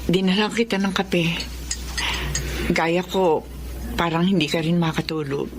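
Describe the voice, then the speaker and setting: A woman's voice: on the low side, steady tone, a little slow, background noise, lightly acted. An actress playing an anguished, stubborn middle-aged mother in a radio drama, emotional and pleading. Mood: subdued, apologetic. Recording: music under the voice.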